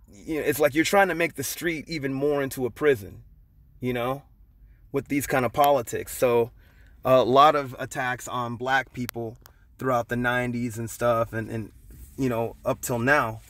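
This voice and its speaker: A man's voice: medium pitch, a bit bright, dry recording. A middle-aged man from Long Beach, talking casually to his viewers with lots of fillers and restarts. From Long Beach, California. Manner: conversational, many fillers and restarts, loose and storytelling. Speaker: channel host.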